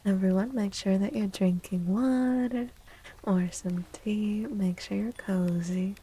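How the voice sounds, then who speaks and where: Slow, breathy, soft-spoken woman. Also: medium pitch. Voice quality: soft, breathy, often dropping into whisper. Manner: unhurried, gentle, pausing between short phrases. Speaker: livestream host. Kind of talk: soft-spoken ASMR livestream chat.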